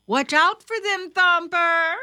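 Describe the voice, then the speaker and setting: A woman's voice: extremely high pitch, takes it slowly, bright, forceful tone, no room sound, studio quality, pitched above the performer's own voice, exaggerated voice. A woman voicing a protective, scolding mother rabbit in a storybook, firm and exclaiming. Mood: instructing.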